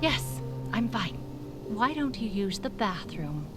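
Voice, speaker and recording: An actress playing a gentle, guarded woman in an audio drama. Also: medium pitch, sing-song, slow, over loud music.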